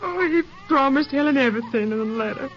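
Aged bereaved mother, embittered, very high voice, muffled old radio recording. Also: expressive, fast, soft background music. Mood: grieving, bitter. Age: older. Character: bitter, grieving old mother of a murdered daughter. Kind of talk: 1950s radio drama acting.

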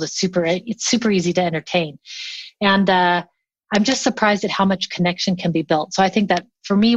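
A woman's voice: mid-range voice, dry recording, muffled recording. A woman chatting casually as an interview guest, telling things in an enthusiastic, anecdotal way. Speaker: remote collaboration workshop teacher.

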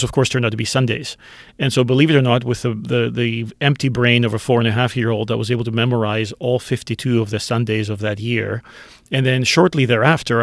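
A man's voice: medium pitch, vocal fry, no room sound. Candid middle-aged man, dry close sound. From Greece. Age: 50s. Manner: candid, self-deprecating, laughs easily. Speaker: author guest. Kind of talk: interview answers.

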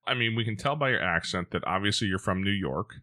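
A man's voice: lowish voice, animated, fast, bright, forceful tone. A man with an American Midwest accent hosting a podcast in a casual, chatty interview style. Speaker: podcast host.

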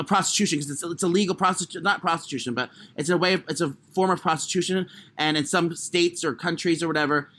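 A man with a high voice co-hosting a casual comedy podcast, crude and self-deprecating in his humour. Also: fairly bright tone. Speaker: podcast co-host. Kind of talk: casual comic chat.

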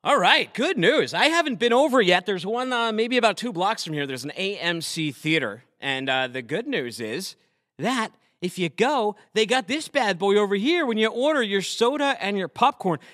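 A man from Massachusetts, hosting a podcast in an animated, jokey, casual way. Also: high voice, no room sound, studio quality. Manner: animated and jokey, fast filler-laden talk. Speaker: podcast host. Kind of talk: casual chat.

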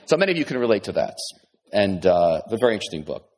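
Husky-voiced man preaching conversationally. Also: on the low side, sing-song, fast talker, darkish tone. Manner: conversational preaching with many restarts and asides. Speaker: pastor. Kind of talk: sermon.

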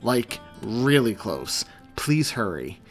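A man's voice: mid-range voice, big pitch swings, slow pace, faint music behind. A man voicing an anxious, flustered young man in an audiobook, hesitant and easily exasperated. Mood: urgent.

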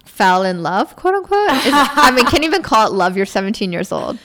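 A woman's voice: medium pitch, big pitch swings, brisk, a bit bright. A woman from New York with an American accent, telling stories casually in a chatty, candid way. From New York, United States. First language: English. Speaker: podcast guest, matchmaker. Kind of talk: casual conversational storytelling.

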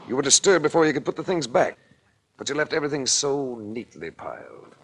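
An American actor playing a cool, wry investigator in a 1940s radio crime drama, with an unflappable, dryly sardonic manner. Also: male voice, medium pitch, big pitch swings, fairly quick, fairly bright tone, slightly rough, exaggerated voice. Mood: coolly accusing. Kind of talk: 1940s radio detective acting. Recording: vintage 1940s radio recording.

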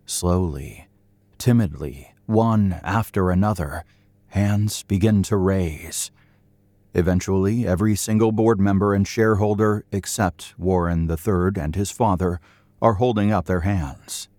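A man's voice: sits low, a little slow, warm tone, no room sound. A man giving scripted dramatic narration for an audio drama, with polished, suspenseful storytelling.

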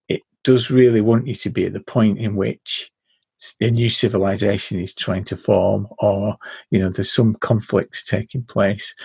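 A man hosting a podcast, telling an anecdote from a game he ran in a relaxed, conversational way. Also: fairly low pitch, vocal fry, slightly rough, dry recording. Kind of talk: casual chat about tabletop games.

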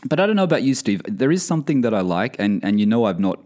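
A man with a very low voice hosts a podcast. He talks in a loose, rambling way, and his voice sounds a little croaky, as if he is coming down with something. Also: fast. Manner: relaxed, rambling, many fillers. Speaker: podcast host. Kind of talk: casual podcast chat. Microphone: podcast mic over video call.

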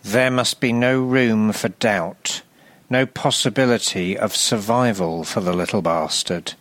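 A man's voice: fairly low pitch, a bit bright, no echo. Man putting on an imperious, petulant TV producer. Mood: indignant. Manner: emphatic, drawn-out, dismissive commands. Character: imperious, tantrum-throwing male TV producer.

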